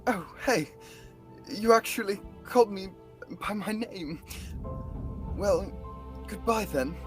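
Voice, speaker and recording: A young woman acting a sardonic sentient time-traveling book in radio theatre, with wry, deadpan quips. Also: mid-range voice, takes it slowly, natural voice. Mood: solemn farewell. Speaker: student radio theatre actor. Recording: music behind the voice.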